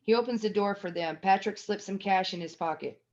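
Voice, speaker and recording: A woman's voice: medium pitch, brisk, a little mellow. Stage-direction narrator for a table read: female, mid-range voice, scripted reading, dull lo-fi sound.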